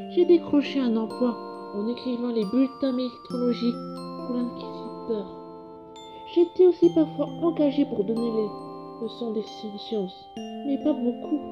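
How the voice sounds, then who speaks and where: A woman's voice: fairly high pitch, lo-fi sound. A woman telling a story in character in an amateur French comic dub.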